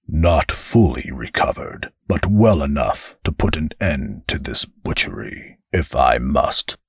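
A man voicing a stern, proud fallen angel in an audiobook, speaking gravely and slowly. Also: very deep voice, lively intonation, dry recording, studio quality, full character voice. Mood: resolute.

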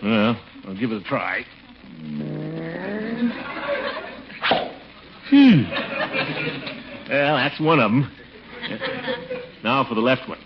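A man's voice: mid-range voice, expressive, unhurried, lightly acted. A man acting a pompous, blustering, vain middle-aged man in a 1940s radio comedy, exclaiming and easily flustered. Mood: exasperated. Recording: noisy, dull 1940s radio recording.

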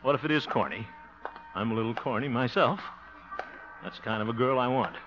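An actor playing a blustering, softhearted middle-aged bachelor in a 1950s radio comedy, flustered and theatrical, given to muttered asides. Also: male voice, mid-range voice, brisk, over music, close to an everyday voice. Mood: musing, smug. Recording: muffled vintage radio broadcast.

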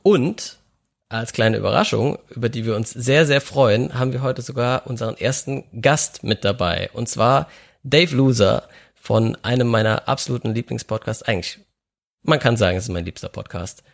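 A man's voice: medium pitch, normal speed, gravelly. A man hosting a podcast in a casual chat, hesitant and using many filler sounds. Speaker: podcast host.

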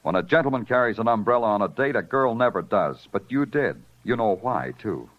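Casting: hard-boiled American police detective, low swinging delivery, world-weary and terse, old radio noir. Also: male voice, lively intonation, brisk. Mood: accusing, hard. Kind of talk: 1950s radio crime drama acting and noir narration. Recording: dull vintage radio recording, music behind.

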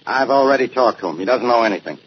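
A man playing a gruff, irritable, sarcastic homicide inspector in a 1940s radio detective drama. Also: mid-range voice, expressive, quick pace, lightly acted. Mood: sardonic, irritable. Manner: blunt, sardonic, impatient. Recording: muffled, noisy old-time radio recording.

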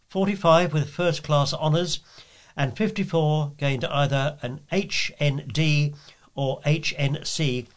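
Higher-pitched man reading news. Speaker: volunteer news reader. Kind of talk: reading local news aloud, scripted.

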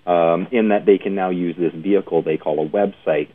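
Man, low-pitched, interview host, conversational, long-winded hesitant phrasing, tinny lo-fi phone-like recording. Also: fairly even intonation, moderate pace. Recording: narrow phone-like band, lo-fi internet radio.

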